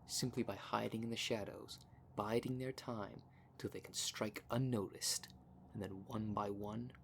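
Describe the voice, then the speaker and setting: A man's voice: lowish voice, steady tone, a little slow, vocal fry, slight background noise. A man playing a cocky, cunning werecat with a smug, taunting, theatrical manner in an audio roleplay. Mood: taunting, menacing. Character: cocky, cunning male werecat shapeshifter, boastful and menacing. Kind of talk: ASMR roleplay monologue.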